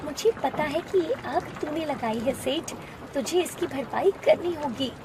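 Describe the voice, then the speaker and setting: A woman voicing a kind, devout village wife and mother in an animated moral story. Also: sits high, a little slow, background noise, pitched above the performer's own voice. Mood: defiant.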